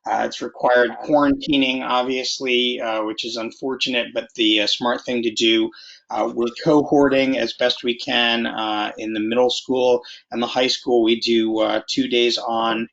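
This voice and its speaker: A man's voice: medium pitch, level tone, measured pace, creaky, fairly bright tone. A man giving an informal update as a school board member in an online meeting. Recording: muffled video meeting audio.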